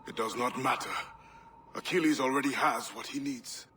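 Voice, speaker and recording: An actor playing a bitter, solemn older assassin, scornful and condemning, in a video game. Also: male voice, medium pitch, normal speed, bright, forceful tone, natural voice. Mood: bitter, grave. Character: bitter, condemning older male assassin making a last stand. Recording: distant voice with clear background noise.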